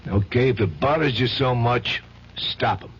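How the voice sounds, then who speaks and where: A man's voice: medium pitch, normal speed, slight background noise, natural voice. An actor playing a tough, slangy, loyal and menacing streetwise man in an old radio drama. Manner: gruff, slangy, wisecracking. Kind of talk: 1970s radio drama acting. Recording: dull old radio broadcast.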